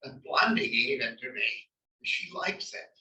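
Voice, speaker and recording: A man playing a cheerful, hard-of-hearing father in his nineties, halting and befuddled, in a comedy table read. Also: medium pitch, big pitch swings, bright, forceful tone, exaggerated voice. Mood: fond. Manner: halting, repetitive, befuddled but affectionate. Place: library room table read before a mic at distance. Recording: echoey room, distant mic.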